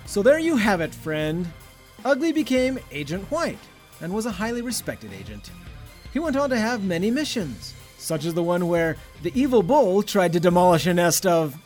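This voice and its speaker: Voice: mid-range voice, big pitch swings, moderate pace, a little mellow, soft background music, lightly acted. An actor playing a chummy storybook narrator in an audio drama, telling the tale dramatically. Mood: chummy, wrapping up. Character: folksy storybook narrator addressing a friend.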